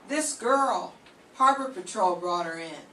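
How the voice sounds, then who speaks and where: An older woman playing a gruff, world-weary male police lieutenant with a soft heart, in an amateur 1940s-style radio play. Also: fairly low pitch, lively intonation, unhurried. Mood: businesslike. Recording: echoey room from far off, faint hiss.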